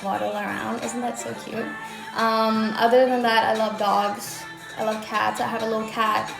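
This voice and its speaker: A woman's voice: on the high side, creaky. Bubbly young Swedish woman, light accent. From Sweden. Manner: chatty, bubbly, many "like" fillers. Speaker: livestream host. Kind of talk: casual livestream chat answering comments. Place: her own room. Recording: roomy echo with faint music.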